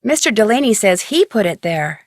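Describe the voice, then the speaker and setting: A woman's voice: medium pitch, very animated, moderate pace, no echo, clean studio sound. An actress playing a direct, persistent young female detective in a video game, with a pointed, questioning manner. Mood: probing. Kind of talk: video game dialogue acting.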